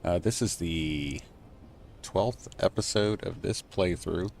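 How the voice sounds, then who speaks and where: A man streaming a game from home, thinking aloud and answering chat in a soft, unhurried voice. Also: vocal fry, a little hiss or hum. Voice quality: low, soft, relaxed voice. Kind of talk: casual live-stream gameplay chat.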